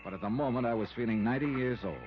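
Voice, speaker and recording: An actor playing a dogged, weary but wry investigator in a 1950s radio detective drama, with clipped, punchy phrasing. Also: male voice, mid-range voice, racing, close to an everyday voice. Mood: weary narration. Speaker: lead actor and narrator. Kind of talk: 1950s radio detective acting and first-person narration. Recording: muffled vintage radio recording, music underneath.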